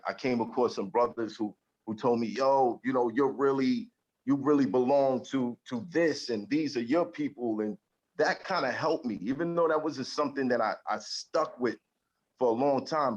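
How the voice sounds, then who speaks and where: A man's voice: mid-range voice, muffled recording. A man speaking as a guest in casual talk, earnest and reflective, often repeating words as he searches for them. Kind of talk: casual chat.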